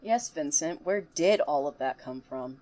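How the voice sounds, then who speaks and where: Smug, needling student seer for audio drama, played by a woman; low register, theatrical, cryptic. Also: expressive, a little slow, mellow. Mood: sly, cryptic. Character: taunting, smug, cryptic student who claims visions.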